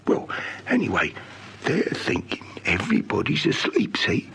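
An actor playing a garrulous old hobo informant in an old radio drama, eager and halting, repeating his words in colloquial speech. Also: mid-range voice, wildly swooping pitch, creaky, a bit bright, breathy edge, full character voice. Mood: confiding, rambling. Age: older. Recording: noisy vintage radio drama recording.

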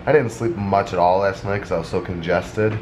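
A man's voice: sits low, steady tone, a little fast, darkish tone. Congested young man vlogging on a handheld camera. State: congested from a sinus infection. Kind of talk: casual vlog chat. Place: apartment at home, then outdoors at a resort at night. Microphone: handheld camera at arm's length. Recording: noisy handheld camera audio.

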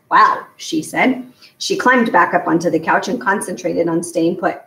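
A middle-aged woman narrates a children's book with plenty of creak in her voice. She is recorded some way from the mic in a roomy, echoing space. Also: on the low side. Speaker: storyteller reading a children's novel aloud. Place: home garage, indoors. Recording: echoey room, distant mic.

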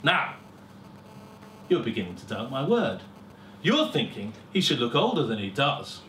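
A middle-aged man giving a dramatic, deliberate first-person story reading, with pauses and swelling emphasis. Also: mid-range voice, big pitch swings, fairly bright tone. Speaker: story reader at a live festival. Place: small lecture room with seated audience. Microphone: far camera. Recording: roomy, distant camera mic, faint hiss.

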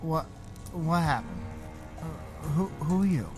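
A man's voice: a little high, big pitch swings, a little slow, a little mellow, soft background music. An actor playing a dazed, injured male train conductor in an audio drama, stammering and hesitant.

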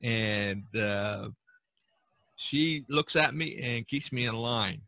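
A man's voice: medium pitch, slow, vocal fry, bright, forceful tone, no echo. A man talking casually as a podcast host, telling stories in a rambling, discursive way. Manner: rambling, storytelling. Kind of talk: casual discussion of art history.

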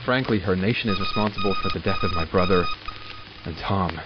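A man's voice: deep voice, brisk, close to an everyday voice. A man acting in an audio drama as an arrogant, exasperated doctor who studies supernatural captives, clinical and sardonic in manner. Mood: wry, hesitant. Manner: clinical, sardonic, self-talking. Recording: muffled, with loud background noise.

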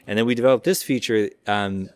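Male demonstrator, lowish pitch, conversational and explanatory, informal product walkthrough. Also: brisk, no echo. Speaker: audio software company representative giving a demo.

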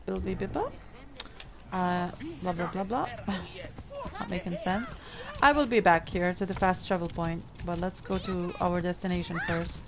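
A woman's voice: lowish voice, a little slow, vocal fry, crowd noise. A young woman from the Middle East with an Arabic accent, chatting casually with her stream while playing. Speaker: gamer streamer. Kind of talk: casual let's play commentary. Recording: game music underneath.

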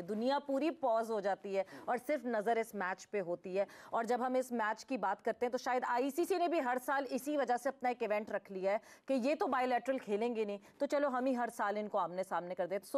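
A woman co-hosting a cricket discussion in a TV studio, speaking confidently and upbeat. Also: a little high. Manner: confident, upbeat, mixes in English phrases. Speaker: co-host.

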